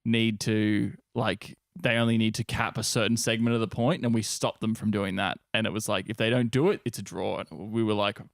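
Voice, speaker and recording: A man's voice: on the low side, steady tone, brisk, vocal fry, hardly any pauses, dry recording, clean studio sound. A young Australian man co-hosting a podcast in casual, sweary, slangy chat. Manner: laid-back, sweary slang. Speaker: podcast co-host.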